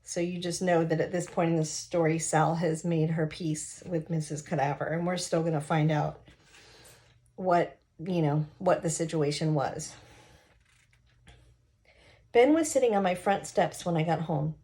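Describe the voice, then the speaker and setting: A woman reading a novel aloud expressively, pausing now and then to explain it to listeners. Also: low-pitched, mellow.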